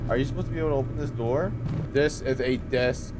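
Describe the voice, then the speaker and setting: A man's voice: medium pitch, quick pace. A young man giving casual, slangy gameplay commentary as the host of a gaming video, swearing freely. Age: young adult. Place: small bedroom, indoors.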